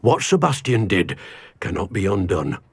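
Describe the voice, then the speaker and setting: An actor playing a stern middle-aged uncle in a video game cutscene, grave and scolding. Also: male voice, deep voice, big pitch swings, moderate pace, husky, no room sound, full character voice. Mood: condemning. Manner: grave, scolding, heavy with anger. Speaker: game character.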